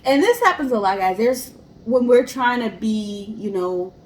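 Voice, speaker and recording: A woman's voice: a little high, lively intonation, a little slow. A woman co-hosting a casual story show, explaining history and speaking directly to listeners. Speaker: podcast co-host and storyteller. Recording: roomy echo, clear background hiss.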